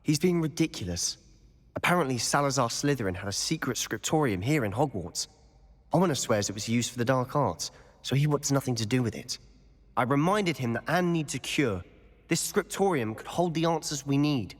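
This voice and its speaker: A man's voice: mid-range voice, animated, normal speed, lightly acted. A man playing a brooding, persuasive teenage wizard in a video game, serious and confiding in manner. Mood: exasperated, hopeful. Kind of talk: video game cutscene acting. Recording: long hall-like reverb.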